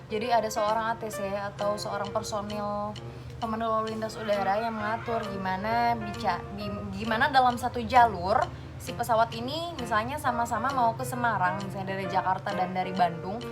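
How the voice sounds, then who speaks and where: A woman's voice: mid-range voice, fairly even intonation, fairly quick. A young woman in a casual explainer vlog, chatty, asking and answering her own questions. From Indonesia. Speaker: vlogger explaining air traffic control. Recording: loud music behind the voice.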